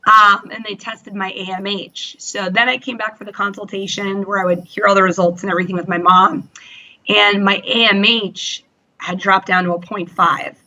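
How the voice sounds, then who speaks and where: A woman's voice: medium pitch, steady tone, normal speed, sharp tone, dull audio. A woman in her thirties, a guest in an interview, telling her own story in a chatty way with many fillers and quoted self-talk. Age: 30s. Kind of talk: candid personal story.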